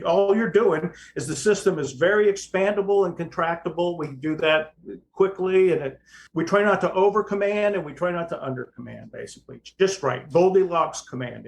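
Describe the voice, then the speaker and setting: Gravelly American man, plain-spoken commentary. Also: on the high side. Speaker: podcast co-host and firefighting instructor. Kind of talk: casual expert commentary on fire incidents.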